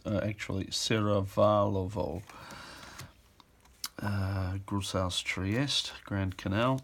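A middle-aged man giving an informal walkthrough of auction items in an offhand, halting way, as if thinking aloud. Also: lowish voice, steady tone, gravelly, slight background noise. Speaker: auction house presenter. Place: indoors at a table.

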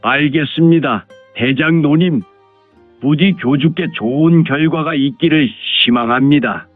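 A fawning, hot-blooded martial clan lord, played by a man, explains things with formal deference in a fairly high voice. It is audio drama with a narrow phone-like sound and music behind it. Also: unhurried. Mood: obsequious. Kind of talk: Korean martial-arts audio drama acting.

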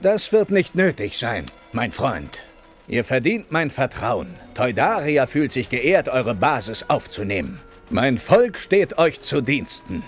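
A man's voice: medium pitch, sing-song, slow, faint music behind. An actor playing a gracious alien king who speaks formally and ceremoniously.

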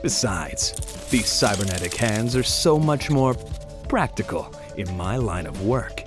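A gleeful pyromaniac villain from an audio drama. He taunts in a grandiose, theatrical way, his pitch leaping around over background music. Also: sing-song, measured pace.

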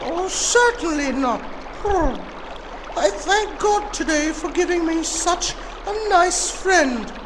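High, gentle cartoon dove. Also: male voice, lively intonation, takes it slowly, background noise, heavily acted. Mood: kindly. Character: gentle, humble, kindly dove. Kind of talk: children's animated storybook.

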